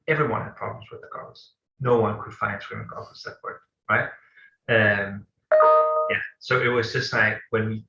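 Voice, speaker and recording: Danish-accented man, low and flat voice. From Denmark. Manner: hesitant, many fillers and restarts. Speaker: guest, company co-founder. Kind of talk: interview answers. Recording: echoey room, dull lo-fi sound.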